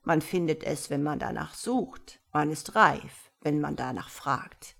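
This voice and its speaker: Woman as a gravelly, patient wise old-world tomcat. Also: low voice, voice pushed down, heavily acted. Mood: solemn, mysterious. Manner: measured, gently instructive, slightly pompous. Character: wise, patient male tomcat, calm mysterious mentor. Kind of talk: storybook character voice.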